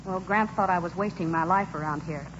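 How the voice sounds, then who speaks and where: An actress playing an earnest, trusting young farm woman in a radio play, wholesome and gentle in manner. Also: lowish voice, a little fast, close to an everyday voice. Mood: earnest, nostalgic. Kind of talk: 1940s radio acting. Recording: dull old radio recording, faint noise.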